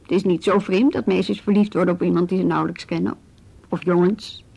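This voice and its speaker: An actress playing a calm, probing policewoman in a radio drama, questioning in a measured, businesslike way. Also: lowish voice, gravelly, slightly rough. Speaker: police officer questioning a youth.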